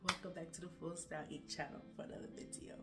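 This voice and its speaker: A woman's voice: low-pitched, steady tone, very fast, loud music behind the voice. A woman in her thirties vlogging from her bedroom, tired and a little nasal from a cold, chatting casually and laughing at herself. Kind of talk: casual vlog chat. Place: bedroom at home. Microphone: camera on a leaned phone, later far off. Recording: echoey bare room.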